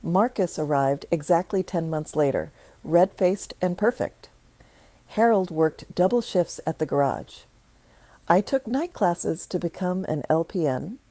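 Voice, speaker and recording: A woman narrates a scripted personal story in a measured, thoughtful manner. Her voice sits low with a gravelly edge and a soft, warm tone. The recording is close and dry. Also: expressive, no room sound.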